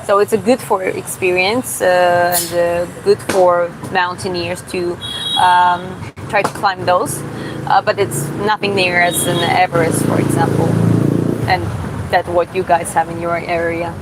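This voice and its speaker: A woman from Georgia who streams and boxes, reading viewer comments aloud in a live Q&A and answering casually, often pausing and restarting to find her words. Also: lowish voice. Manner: casual, halting, searches for words with many restarts. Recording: steady background hum.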